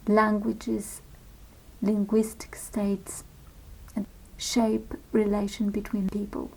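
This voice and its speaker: Woman, artist, reflective, hesitant pauses, dark mellow tone. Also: fairly high pitch, creaky, slightly rough, rough recording. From Poland. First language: Polish. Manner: measured, with frequent hesitations. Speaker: visual artist. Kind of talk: reflective talk on her art practice.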